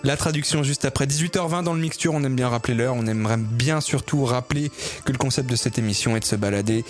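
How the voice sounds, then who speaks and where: A man's voice: mid-range voice, fast talker. Man, radio music-show host, hesitant chatty delivery, faint music behind. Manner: hesitant, many fillers and restarts. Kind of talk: casual music radio chat.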